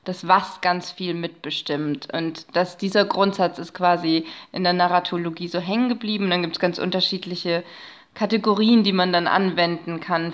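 A woman in her thirties speaking as an interview guest, explaining her research field conversationally in loose, winding sentences. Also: lowish voice. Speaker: podcast guest, narratologist and researcher.

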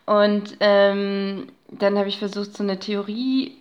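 A woman's voice: medium pitch, flat delivery, slow pace. A woman giving casual, explanatory interview answers as a podcast guest, thinking aloud and searching for words as she goes. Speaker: podcast guest, narratology researcher and improv performer.

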